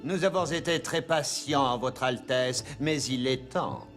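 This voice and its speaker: A man's voice: mid-range voice, unhurried, over music, lightly acted. A man voicing an unctuous, imperious royal adviser in a French cartoon dub, formal and pompous. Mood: pressing.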